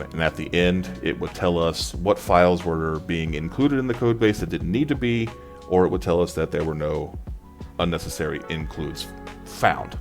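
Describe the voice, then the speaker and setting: A man presenting exam advice in an informal tutorial style, talking through slides. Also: low-pitched.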